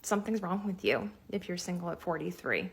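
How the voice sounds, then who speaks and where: A forty-three-year-old woman calmly telling a personal story. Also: fairly low pitch, a little fast, creaky, breathy edge. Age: 40s.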